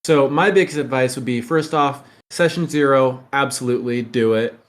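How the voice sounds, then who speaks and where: Male podcast co-host, medium pitch, conversational, frank and practical adviser. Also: moderate pace. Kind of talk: casual podcast discussion.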